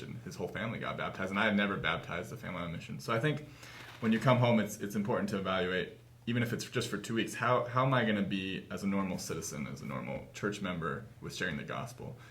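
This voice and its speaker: A man's voice: low voice, fairly bright tone, a little hiss or hum. A man in his twenties chatting casually as a guest in an interview, seated in a meeting room. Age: 20s. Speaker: author and guest. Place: small office meeting room, seated at a table.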